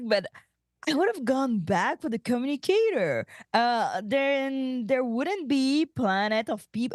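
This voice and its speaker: Woman playing an awkward, boastful young male officer, animated. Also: fairly high pitch, dry recording, clean studio sound. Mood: smug. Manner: stammering, trying to sound cool. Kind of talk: table read of animated sci-fi comedy script.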